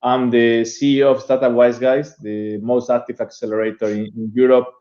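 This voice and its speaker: A man from Spain with a voice of middling pitch, heard through slightly muffled, lo-fi call audio. He jokes about himself as he speaks, poking fun at his own introduction. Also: little pitch movement, normal speed, dark tone. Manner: joking, self-mocking. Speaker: startup accelerator executive, panelist.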